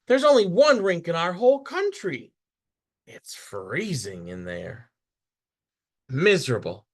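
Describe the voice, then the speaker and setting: A man's voice: fairly high pitch, pitched above the performer's own voice, full character voice. Man acting a frosty, scornful storybook relative. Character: discouraging, frosty relatives of a young girl.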